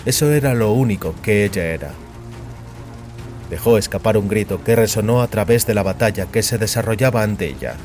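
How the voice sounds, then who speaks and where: A man narrating dramatic fiction in a solemn, measured way. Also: on the low side, animated, a little mellow, faint music behind.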